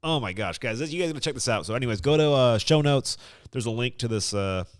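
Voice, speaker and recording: A man's voice: medium pitch, animated, fast talker, clean tone, dry recording, studio quality. A man hosting a casual podcast, chatting with effusive enthusiasm. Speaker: podcast host.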